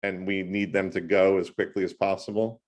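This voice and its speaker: A man tutoring math one-on-one over a video call, talking loudly in an animated, joking way. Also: low voice, brisk. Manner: loud, animated and jokey.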